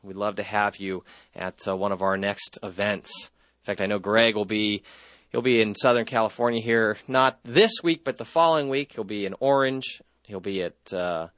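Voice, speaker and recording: Relaxed man hosting call-in radio. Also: lowish voice, normal speed, dry recording. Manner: relaxed, lots of uh fillers and restarts. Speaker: radio host, filling in. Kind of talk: live call-in radio talk. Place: radio studio. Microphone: studio microphone.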